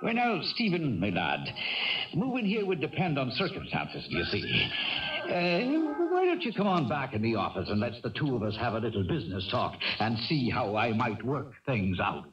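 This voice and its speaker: A man's voice: medium pitch, wildly swooping pitch, moderate pace, far from the mic. An actor playing a small, genial Irish ex-jockey in his fifties in a 1970s radio drama, with folksy, lilting blarney. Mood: warm, persuasive. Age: 50s. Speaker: character narrator. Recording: muffled old radio broadcast with music.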